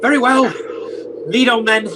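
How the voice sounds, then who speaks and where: A man's voice: very high-pitched, fairly even intonation, a little slow. Elderly miser, acted by a man, formal, theatrical, humbled, fearful, amateur radio play, noisy video-call recording. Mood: apprehensive.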